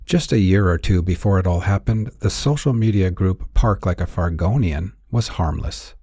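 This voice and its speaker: A man narrating scripted noir fiction in a measured, wry, theatrical storyteller's manner. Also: low voice, mellow, slightly rough, dry recording, clean studio sound. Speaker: narrator and author.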